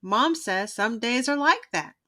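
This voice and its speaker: A woman reading a children's storybook aloud as host, with expressive, emphatic phrasing. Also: on the high side, measured pace.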